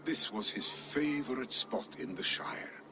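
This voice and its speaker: A man's voice: mid-range voice, lively intonation, measured pace, noisy background, lightly acted. An actor playing a loyal, dutiful male steward with a dry wit in a game cutscene. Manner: earnest, dutiful, dryly wry.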